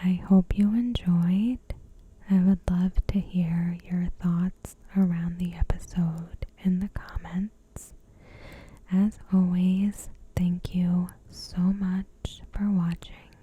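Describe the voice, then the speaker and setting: Whispering woman, slow and dark-toned ASMR. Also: on the low side, flat delivery, low-quality recording. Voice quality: soft, breathy whisper. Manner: gentle, measured, deliberate. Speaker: video host. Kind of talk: ASMR episode commentary.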